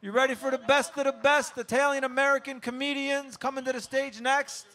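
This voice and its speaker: Male comedy MC, very high and bright voice, hyped stage announcing, repeats himself. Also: flat delivery, brisk. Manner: hyped, repetitive. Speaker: comedy show MC. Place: live comedy show before an audience.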